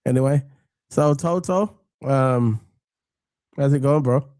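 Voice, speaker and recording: Croaky English man hosting football chat. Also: mid-range voice, slow pace, no room sound, clean studio sound. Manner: chatty, rambling, gives shout-outs to viewers. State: croaky from a cold. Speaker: football podcast host. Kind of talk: casual chat.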